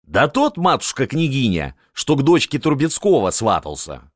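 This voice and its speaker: A man's voice: medium pitch, wildly swooping pitch, moderate pace, no echo, clean studio sound, voice pushed up. A man voicing a cheeky young servant who grins and mocks. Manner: mocking, cheeky.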